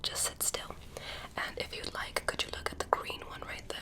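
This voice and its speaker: A woman's voice: normal speed, close to an everyday voice. A woman voicing a curious, polite female alien examiner, whispering through an ASMR roleplay. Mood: clinical.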